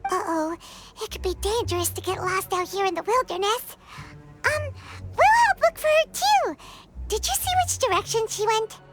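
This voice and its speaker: A woman voicing a small, childlike, excitable fairy companion in a video game, squeaky and exclamatory, with music behind. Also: normal speed. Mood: eager. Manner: exclamatory, expressive.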